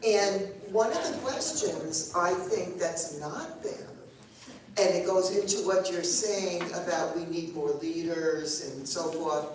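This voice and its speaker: A woman with a somewhat low voice asks a long, winding question from the audience, piling up examples before she gets to her point. She is picked up from far off in a very echoey room. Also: takes it slowly. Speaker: congregant asking a question. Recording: echoey room, mic far off, faint noise.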